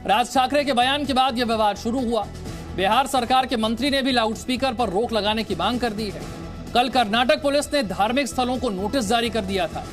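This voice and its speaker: High-pitched male news anchor over music. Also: brisk. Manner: explanatory, addressing viewers directly. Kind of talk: Hindi TV news presentation. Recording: music bed under the voice.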